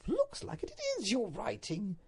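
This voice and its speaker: A woman voicing an anguished, highly strung duchess in a storybook reading, pleading and breathless in a melodramatic way. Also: wildly swooping pitch, moderate pace, darkish tone, husky, full character voice. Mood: reproachful.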